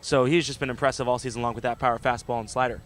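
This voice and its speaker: A man's voice: mid-range voice, little pitch movement, racing, soft background music. A man working as a color analyst on a sports radio broadcast, commenting conversationally.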